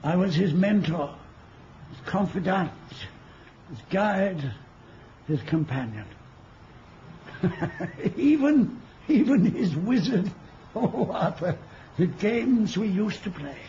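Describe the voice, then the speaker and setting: An ancient wizard speaks in a high-pitched voice with grand, poetic, archaic phrasing. He is a wistful mentor in an old radio play. The sound is dull, with some background noise. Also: big pitch swings, slow, pauses often, dark tone, heavily acted. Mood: fond reminiscing.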